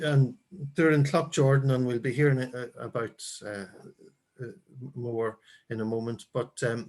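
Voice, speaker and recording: An Irish man hosting a casual chat while making pancakes, talking haltingly with many ums and restarts. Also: medium pitch. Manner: hesitant, stammering, lots of fillers. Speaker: host.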